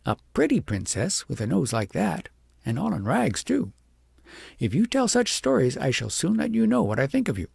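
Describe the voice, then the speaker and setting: A man's voice: a little high, huge pitch swings, moderate pace, creaky, darkish tone, breathy edge, no echo, voice pushed up, exaggerated voice. A man voicing a short-tempered, scornful shepherdess in a storybook, brusque, bossy and indignant. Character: scolding, sharp-tongued shepherdess wife, short-tempered and scornful. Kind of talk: storybook character voice in audiobook.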